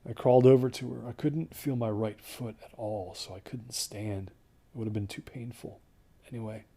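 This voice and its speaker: A man acts out a delusional, wounded survivor in an audio horror drama. His voice is low and slightly husky. He recounts his ordeal in halting, detached phrases that often drop toward a hush. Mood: numb, detached. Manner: halting, detached, with hushed near-whispered phrases. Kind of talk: first-person audio horror drama monologue.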